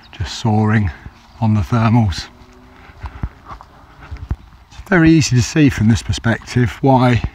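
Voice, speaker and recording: A man with a slightly croaky voice, chatting casually to a handheld camera while walking a grassy hillside, with plenty of ums and hesitations. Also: mid-range voice. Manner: rambling, hesitant with many fillers. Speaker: walking vlogger. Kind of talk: casual hiking commentary to camera. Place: outdoors on open grassy hillside. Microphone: handheld camera held by the walker.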